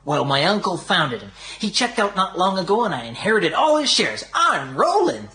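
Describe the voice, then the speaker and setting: An actor playing a brash, tipsy young American millionaire playboy with an American accent in a radio drama. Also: male voice, high-pitched, big pitch swings, normal speed, pushed and bright, faint music behind, full character voice. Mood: breezy, wry.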